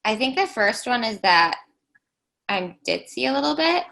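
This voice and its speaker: A young woman with a high, bubbly voice co-hosts a casual podcast chat. Her tone is bright, and some vocal fry runs through it. She sounds upbeat and friendly. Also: sing-song, moderate pace. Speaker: podcast co-host.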